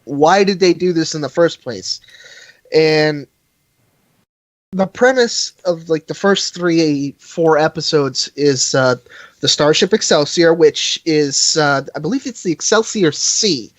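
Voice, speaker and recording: A man chatting casually as a podcast host, rambling and halting, with frequent hesitations. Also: a little high, no room sound. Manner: halting, rambling, chuckles often. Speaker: live stream host. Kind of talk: casual fan podcast chat.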